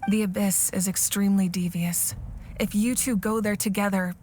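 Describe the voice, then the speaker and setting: A woman voicing a composed, determined war leader and protector in a video game, calm, earnest and measured. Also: mid-range voice, lightly acted. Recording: faint music behind the voice.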